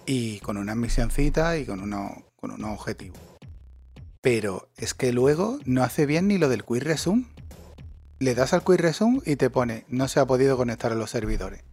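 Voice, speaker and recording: A man's voice: medium pitch, lively intonation, normal speed, a little mellow, breathy edge, faint music behind. Ranting man in his forties. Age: 40s. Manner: ranting, sarcastic, swears freely. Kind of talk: casual chat.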